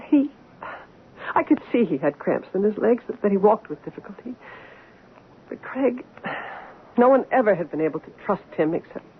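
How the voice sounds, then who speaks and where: An actress playing an anxious, guilt-ridden doctor, earnest and emotional in an old-time radio drama style. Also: female voice, mid-range voice, expressive, natural voice. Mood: guilty, distressed. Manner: earnest, emotional, halting when distressed. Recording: tinny, hissy old radio broadcast.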